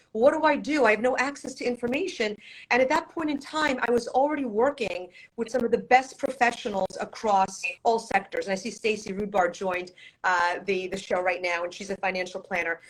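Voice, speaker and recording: A woman's voice: a little high, low-quality recording. A middle-aged woman from Poland, whose first language is Polish, speaking as an attorney guest in a live-streamed interview, confident and storytelling, with rhetorical questions.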